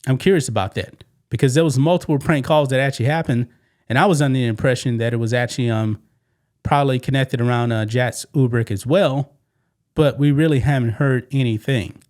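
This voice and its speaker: A man with a medium-pitched voice hosts a sports commentary show. He talks through the news casually and offers his own opinions. His delivery is conversational, peppered with "man" and rhetorical questions. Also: fairly quick, a little mellow.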